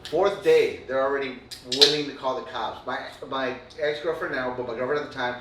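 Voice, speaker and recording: A man tells a winding story in loose, casual chat, stumbling through fillers and tossing in swear words. His voice sits at an ordinary pitch. He is heard a little way off the mic in an echoing room, with a faint hum behind him. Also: steady tone, fairly quick. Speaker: podcast co-host. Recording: roomy, distant mic, faint room noise.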